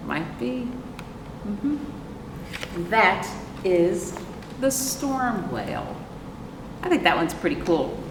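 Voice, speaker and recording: An American woman hosting children's storytime as a librarian, reading picture books expressively and asking her young listeners questions. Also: mid-range voice. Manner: expressive, asks listeners questions and pauses for answers. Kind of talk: picture book read-aloud for young children. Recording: roomy, echoing, noisy, far from microphone.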